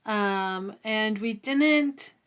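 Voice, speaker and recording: A woman talks casually as co-host of a book discussion. She takes her time, at a slow pace, and her voice is mid-pitched. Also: fairly bright tone, clean tone. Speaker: podcast co-host.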